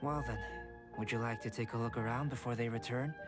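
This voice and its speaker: An actor playing a calm, aloof young alchemist in a video game, measured, polite and detached. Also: male voice, medium pitch, close to an everyday voice. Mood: composed. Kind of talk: video game character dialogue. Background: music.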